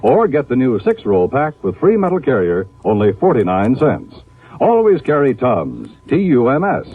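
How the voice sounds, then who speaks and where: A man in the role of a 1950s radio announcer, selling with emphatic polish. His pitch swoops widely over a slightly husky tone, and the recording is thin and narrow. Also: huge pitch swings, normal speed, no room sound. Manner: emphatic, polished salesman delivery. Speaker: radio announcer reading a sponsor ad.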